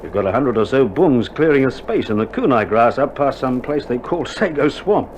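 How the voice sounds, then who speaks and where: A man's voice: medium pitch, animated, moderate pace, close to an everyday voice. An actor playing a wry, reassuring Australian army male nurse in a wartime radio drama, with laconic, joking banter, heard on an old vinyl record. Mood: chatty, wry. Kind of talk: 1960s radio drama acting. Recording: old vinyl recording, dull band, faint noise.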